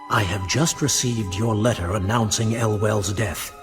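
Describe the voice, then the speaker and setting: An actor playing a grave, cautious letter writer, reading formally in a raspy voice in a radio play. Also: male voice, fairly low pitch, steady tone, measured pace, over music, close to an everyday voice. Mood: guarded, formal.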